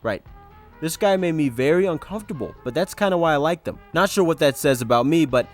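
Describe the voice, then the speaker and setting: A man's voice: on the high side, animated, a little fast, faint music behind. A man giving casual scripted commentary with joking asides and a dry, sarcastic, understated delivery. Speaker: video essayist, host.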